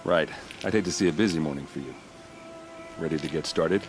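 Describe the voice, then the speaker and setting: A hard-drinking soldier with an extremely low voice, blunt and self-mocking. He sounds weary and wry, a gruff figure in a dramatic game scene, over faint music. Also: brisk. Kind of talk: dramatic video game acting.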